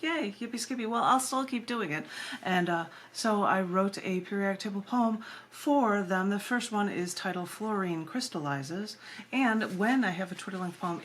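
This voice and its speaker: A woman with a fairly low voice introduces her poetry in an informal, chatty way. She wanders into asides with a playful streak. The amateur camera recording carries a faint layer of noise. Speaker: poet and editor reading own work.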